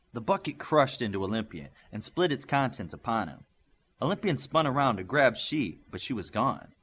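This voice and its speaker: A man's voice: mid-range voice, fairly bright tone, husky. A man reading a scripted story as an audiobook narrator.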